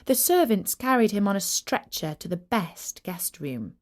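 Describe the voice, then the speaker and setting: A woman narrating a scripted story for children in an expressive, measured and deliberate storyteller's manner. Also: medium pitch.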